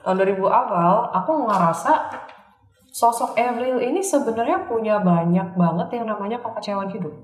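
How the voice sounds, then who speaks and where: A woman's voice: medium pitch, a little fast. A woman with an Indonesian accent explaining things in casual conversation as a psychic reader on a talk show, informally mixing in English words. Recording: roomy, echoing voice recording.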